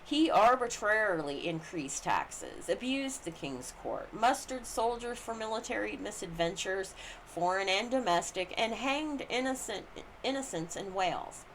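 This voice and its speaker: A woman's voice: medium pitch, lively intonation, a little slow, a bit bright. Woman reading aloud, noisy recording. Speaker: reader of a book on the US Constitution. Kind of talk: reading aloud a scripted legal and historical commentary. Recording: noticeable background noise.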